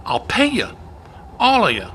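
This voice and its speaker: A man's voice: sits high, huge pitch swings, a little slow, a bit bright, a little hiss or hum, exaggerated voice. A man playing a harried, pushy cattle-drive cowboy with folksy Old West speech in an amateur radio play. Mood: urgent, commanding.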